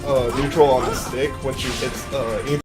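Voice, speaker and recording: A deep-voiced man talks through his own speedrun in a loose, chatty way, explaining tricks as he goes and often restarting sentences mid-thought. His pitch sits in a middle range for a man. Game music plays clearly behind him. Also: steady tone, brisk. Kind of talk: live speedrun commentary.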